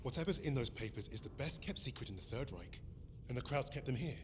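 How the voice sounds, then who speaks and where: An actor playing a resolute British squad leader in a war game, with a British accent and clipped, commanding manner. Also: male voice, mid-range voice, animated, quick pace, noisy background, close to an everyday voice. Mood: wary. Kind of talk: cinematic war game acting.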